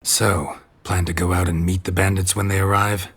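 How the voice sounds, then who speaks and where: A man playing a world-weary, sardonic monster hunter in a video game, terse and deadpan, with a gravelly, husky voice. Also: low-pitched, normal speed, no echo, exaggerated voice. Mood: dry, deadpan.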